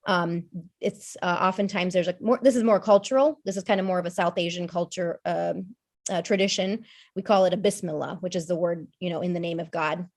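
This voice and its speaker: A woman with a slightly low voice speaks as a podcast guest in a loose, conversational way. She is in her thirties and thinks aloud, circling back with fillers, restarts and small asides. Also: steady tone, a little fast, darkish tone. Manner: thoughtful, rambling, many fillers and restarts. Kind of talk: casual conversational discussion.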